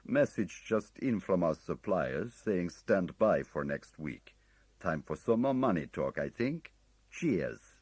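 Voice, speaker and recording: A man plays a breezy foreign businessman, proud and pleased with himself, his English tinged with a slight foreign accent. The voice sits above a very low natural register and comes out husky. The sound is dry and close. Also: big pitch swings, slow, a little mellow, dry recording, exaggerated voice.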